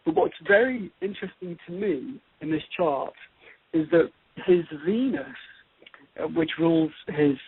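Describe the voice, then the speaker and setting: A man co-hosting an astrology talk, giving conversational chart commentary in a halting, deliberate way. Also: on the high side, animated, husky, no room sound. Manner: halting, deliberate, repeats and pieces phrases together. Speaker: co-host, astrologer. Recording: tinny, phone-like radio-show audio.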